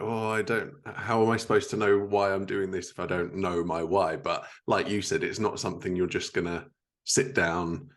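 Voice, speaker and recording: A man with a deep voice and plenty of vocal fry hosts a podcast. He interviews in a casual, conversational style, chatting and putting questions to his guest.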